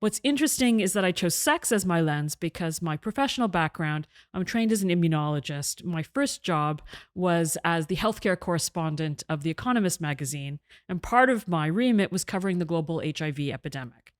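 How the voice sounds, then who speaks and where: A woman's voice: on the low side, lively intonation, brisk, hardly any pauses. A middle-aged woman, an author and journalist, speaking articulately as an interview guest and explaining her ideas at length. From half Egyptian, half British; raised in Canada. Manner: articulate, explanatory, with occasional restarts. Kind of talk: interview conversation.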